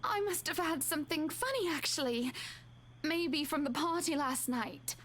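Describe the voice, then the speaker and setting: Very high-pitched spoiled young wife. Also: female voice, animated, moderate pace, a bit bright. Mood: uneasy, suspicious. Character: spoiled wealthy young wife, imperious, then pleading. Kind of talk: visual novel voice acting.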